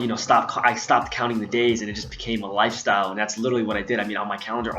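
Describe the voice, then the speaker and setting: A young man chatting fast into a laptop mic at home, rambling along with plenty of ums and uhs. His voice sits fairly low, and he sounds a little out of breath. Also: a bit bright. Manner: rambling, filler-heavy, chatty. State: slightly out of breath. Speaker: vlogger. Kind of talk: casual talk to camera. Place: home room, indoors. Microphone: laptop built-in mic.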